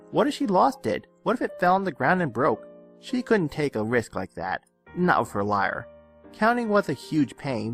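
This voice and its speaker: A man narrates fiction from a script with lively, wide-ranging intonation. His storytelling is expressive and dramatic. Faint music sits behind his voice. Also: fairly high pitch, vocal fry. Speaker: audiobook-style reader of fan fiction. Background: background music.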